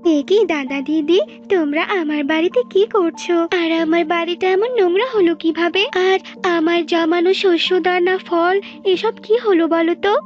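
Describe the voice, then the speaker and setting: Lady doing a tiny trusting cartoon bird, really high n pleading, muffled audio. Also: fairly even intonation, moderate pace, faint music behind. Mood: indignant, hurt. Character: small young female tailorbird, trusting, tearful, earnest. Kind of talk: animated fable character acting.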